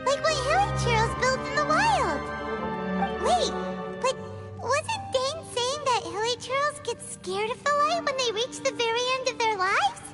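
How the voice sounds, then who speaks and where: A tiny fairy girl from a video game, chatters in a very high, squeaky voice. Her pitch swings freely with childlike excitability. Loud music plays behind her. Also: unhurried. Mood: curious, excited. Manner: squeaky, expressive, speaks of self in third person.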